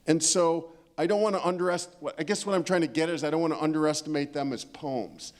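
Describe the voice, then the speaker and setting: Male panelist, slightly high voice, thoughtful and emphatic literary discussion, unscripted. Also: a little fast.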